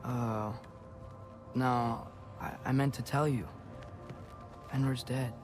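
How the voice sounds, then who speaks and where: A man's voice: mid-range voice, steady tone, slow, music in the background, close to an everyday voice. A young male actor playing an earnest, stubborn, cheeky teenage boy in a video game, talking casually. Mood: subdued. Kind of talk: video game cutscene acting.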